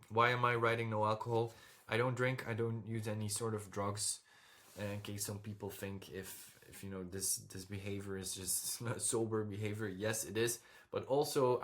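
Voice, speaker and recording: A young man from Belgium, talking casually and directly to his viewers over slides, often checking in with "okay?". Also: lowish voice. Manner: direct, repeats "okay?" and "all right?" to the viewer. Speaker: dating coach vlogger.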